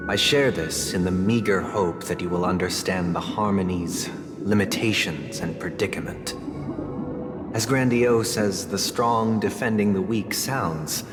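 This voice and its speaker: A man playing a solemn, haunted idealist with authoritarian convictions in a video game, speaking in a measured, formal, grandiloquent way. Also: low voice. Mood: solemn, persuasive. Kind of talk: scripted dramatic video game dialogue. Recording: long hall reverb, game music behind.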